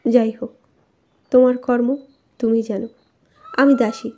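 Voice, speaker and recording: A woman plays a cheeky, bold maidservant from a storybook palace. Her voice is high and mellow, with glib, plain-spoken delivery on a dull recording. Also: little pitch movement, unhurried, slight background noise, close to an everyday voice. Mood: cautious, resigned. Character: bold, teasing maidservant of a royal harem. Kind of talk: audiobook character reading.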